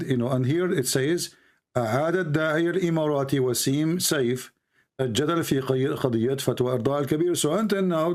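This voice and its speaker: Male debate host, slightly high voice, relentless questioner. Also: darkish tone. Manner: pressing, repetitive questioning. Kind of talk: live call-in debate.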